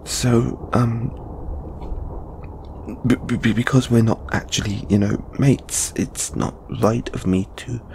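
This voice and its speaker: A man acts out a nervous, lovesick lamia flatmate in an intimate roleplay. He talks slowly and haltingly, stammering and sighing as he goes. His voice is of medium pitch, with clear background noise behind it. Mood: wistful, embarrassed. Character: shy, anxious, lovelorn young male lamia flatmate. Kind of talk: intimate audio roleplay monologue.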